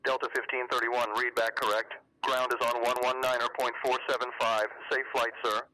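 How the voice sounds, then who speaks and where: A businesslike air traffic controller , voiced by a synthetic man, with a bright, forceful, monotone delivery. He gives clipped, formal instructions through an aviation radio filter. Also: medium pitch, brisk, dry recording, low-quality recording. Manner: clipped, procedural.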